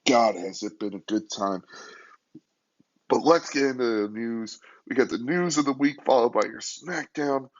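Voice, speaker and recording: A hoarse young man hosting a casual solo podcast from a hotel room, talking quietly and rambling. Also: mid-range voice, unhurried, lo-fi sound. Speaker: podcast host.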